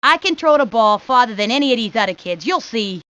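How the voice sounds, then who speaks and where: A woman's voice: a little high, lively intonation, brisk, bright, forceful tone, no room sound. A woman voicing a cocky, streetwise neighborhood boy, talking in brash slang for a cartoon video game. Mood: boastful, threatening. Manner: brash, slangy wise-guy talk. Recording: dull lo-fi 1990s game audio.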